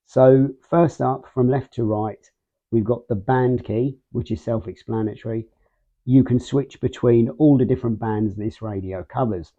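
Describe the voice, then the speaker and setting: Slow-paced man, thin phone-like sound. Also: lowish voice. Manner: measured, pausing mid-sentence between short phrases. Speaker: video host, product reviewer. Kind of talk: casual unboxing and product walkthrough. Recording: muffled, phone-like narrow band sound.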